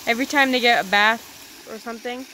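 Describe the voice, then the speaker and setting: A woman's voice: on the high side, fast talker, bright, forceful tone, a little hiss or hum, poor audio. A woman chatting casually from behind a phone camera in a backyard, amused and laughing often. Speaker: pet vlogger filming her dogs. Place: outdoors in a backyard by a pool.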